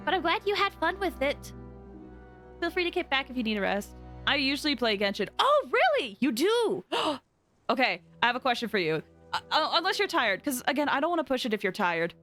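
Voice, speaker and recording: A woman's voice: high-pitched, sharp tone. A young woman chatting casually and effusively as a game livestreamer, often exclaiming. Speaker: game streamer. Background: game music.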